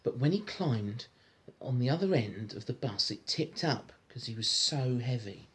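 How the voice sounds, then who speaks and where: A man's voice: medium pitch, animated, a little slow. A man reading a storybook aloud to a child, chatting in a coaxing way with frequent little questions. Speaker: parent reading a bedtime-style story to a child.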